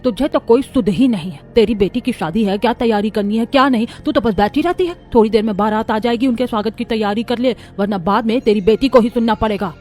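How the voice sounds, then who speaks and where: Woman playing a harsh, nagging mother-in-law, fairly high-voiced. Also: fast, few pauses, darkish tone, lightly acted. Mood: impatient nagging. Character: cruel, scolding older mother-in-law in a family drama. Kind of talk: cartoon story voice acting. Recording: background music under voice.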